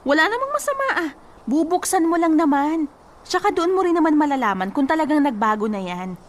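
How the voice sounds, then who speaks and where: High-pitched, sassy, scolding young cousin. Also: female voice, big pitch swings, a little fast. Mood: nosy, goading. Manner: scolding, blunt, colloquial. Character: blunt, exasperated, sassy young female cousin. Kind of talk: Tagalog radio drama acting.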